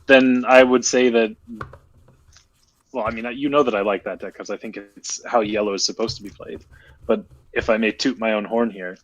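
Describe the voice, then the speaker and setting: Low-voiced man bantering over a card game. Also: steady tone, moderate pace, no room sound, highs cut off. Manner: joking, playful banter. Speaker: card game player and streamer. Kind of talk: casual chat during card game stream.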